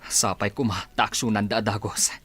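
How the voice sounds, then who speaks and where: A man's voice: on the low side, fairly even intonation, brisk, a bit bright, lightly acted. A man playing a helpful, respectful young villager in a comedy radio drama. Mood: earnest, hopeful.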